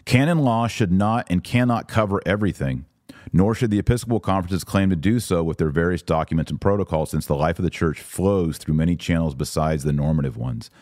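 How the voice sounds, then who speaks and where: A man's voice: low-pitched, brisk, a little mellow, no room sound, clean studio sound. A man hosting a podcast, reading documents aloud and commenting on them with sarcasm and emphasis. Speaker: podcast host.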